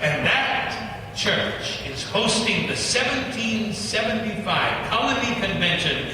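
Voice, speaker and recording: A man telling a historical story in a theatrical, measured style, performing in a large church hall. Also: high voice, expressive, bright, forceful tone, slight background noise. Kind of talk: dramatic historical narration. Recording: echoey church hall from far off.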